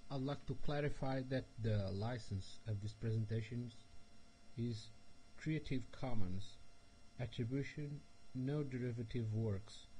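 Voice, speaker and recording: A man, a developer giving a recorded technical presentation in slow, careful speech with frequent hesitations. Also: medium pitch, mellow, a little hiss or hum, low-quality recording. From Portugal. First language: Portuguese. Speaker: software developer presenting a tutorial.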